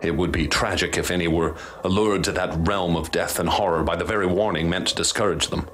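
A haunted professor in a radio drama, his voice low and grave. He speaks formally and with ominous weight, measuring out each phrase. Clear noise and ambience sit behind him. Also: steady tone, brisk, a bit bright. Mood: ominous, troubled. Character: grave haunted male professor recounting horrors he witnessed.

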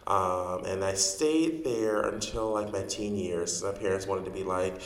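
Brief: Chicago-raised man, 40s, chatty interview guest, lower voice, reverberant room. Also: unhurried. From Chicago, USA. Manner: chatty, animated, laughs often. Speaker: guest, drag performer and actor. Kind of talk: casual interview.

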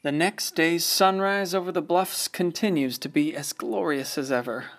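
A man playing a folksy, reflective small-town storyteller, narrating a radio play in an unhurried, wistful way. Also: on the high side, a bit bright, soft background music.

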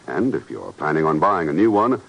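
A man announcing in 1950s radio style, reading scripted ad copy with a polished, emphatic and deliberate delivery. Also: extremely low pitch, very animated, gravelly, a bit bright. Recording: muffled old radio recording.

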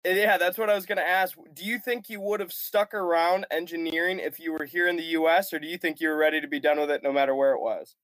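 A man's voice: on the high side, fairly even intonation, quick pace, hardly any pauses, a bit bright, dry recording. A man hosting a podcast interview over a web call, upbeat and laughing along with his guest. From Michigan. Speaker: podcast host. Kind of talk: casual interview chat.

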